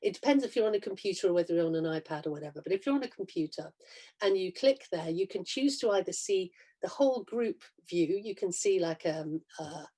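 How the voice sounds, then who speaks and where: A woman gives an informal, encouraging welcome on a video call. Her voice is relaxed and slightly husky, and she talks in a chatty way as the host of an amateur event. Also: fairly low pitch, brisk. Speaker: director and announcer of amateur reading. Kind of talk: informal welcome to online audience.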